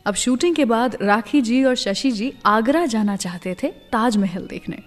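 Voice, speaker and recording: A woman radio host tells stories in a chatty, confiding manner, dropping English words into her sentences. Her voice sits a little high. It rings out with a long reverb, and soft music plays faintly underneath. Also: normal speed. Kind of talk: scripted radio storytelling.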